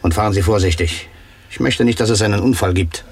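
Radio play hitman, cold and mocking, lowish voice, quick curt delivery, bit of noise. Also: husky. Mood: cold menace. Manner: curt, businesslike, menacing. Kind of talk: German crime radio play acting.

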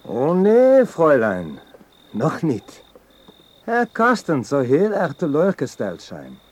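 Menacing high-pitched henchman. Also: huge pitch swings, slow, a little mellow, full character voice. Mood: mocking, smug. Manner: mockingly polite, commanding. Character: menacing, mockingly courteous German henchman with a gun. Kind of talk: radio drama acting.

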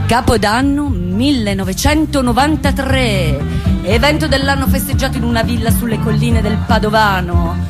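A woman's voice: medium pitch, close to an everyday voice. An actress playing a wry woman who recounts her lovesick teenage years in a dramatic, sardonic theatre monologue. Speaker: stage actress.

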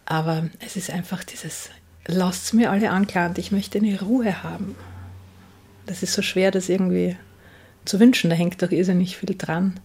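A middle-aged woman with an Austrian accent giving a casual interview answer, halting as she thinks aloud. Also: on the low side, fairly even intonation, darkish tone, husky.

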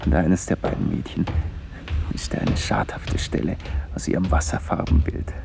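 An actor playing a wandering, brooding man who muses in fragments in a literary radio play monologue, his gravelly voice often sinking to a breathy near-whisper. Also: extremely low pitch, sing-song, normal speed, heavily acted. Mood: musing. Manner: musing, fragmentary inner monologue. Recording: noisy radio recording with clicks.

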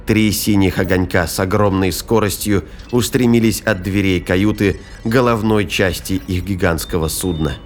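A man reading scripted narration for an audio drama in a measured, detached storytelling manner. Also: deep voice, a little hiss or hum.

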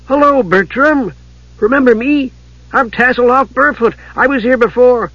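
A small, childlike storybook halfling sort of creature, put on by a male narrator. He is chirpy, bold and friendly in a high, husky voice. The recording is slightly lo-fi. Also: moderate pace, fairly bright tone, no echo, heavily acted. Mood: eager, friendly. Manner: eager, chirpy, familiar. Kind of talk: dramatised audiobook character.

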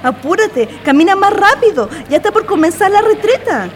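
A woman's voice: very high-pitched, sing-song, normal speed, slight background noise. A woman acting an earnest, lovestruck young woman in an amateur radio play, with a Chilean accent and a dreamy, girlish manner. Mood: eager.

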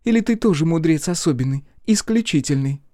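Scornful centauress, played by a man: storybook fantasy, sharp tongue, indignant delivery, raised pitch. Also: a little fast, no room sound, studio quality, natural voice. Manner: indignant, derisive.

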